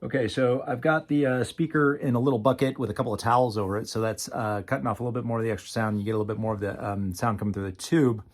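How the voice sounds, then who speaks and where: A man with a medium-pitched voice gives an informal, unscripted demo of something he built at home. He thinks aloud, pausing and hedging as he goes, with a dry, self-mocking streak. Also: fairly even intonation. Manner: self-deprecating, wry. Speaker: hobbyist guitarist demonstrating a homemade project. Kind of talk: casual unscripted demo vlog.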